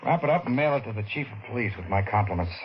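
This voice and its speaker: Lead: man voicing a tough wisecracking drifter, lowish voice, hissy old radio. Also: lively intonation, very fast, lightly acted. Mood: sardonic. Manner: tough, sardonic, hard-boiled. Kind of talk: 1940s radio acting. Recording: muffled old radio recording with loud hiss.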